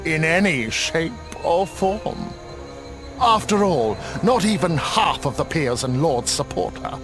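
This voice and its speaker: An actor playing a sly old necromancer in a video game, mockingly courteous and gleefully macabre, with a theatrical drawl. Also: male voice, fairly high pitch, big pitch swings, slow, over music, full character voice. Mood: scheming. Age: older. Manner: theatrical, drawling, mock-sweet. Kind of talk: video game cutscene acting.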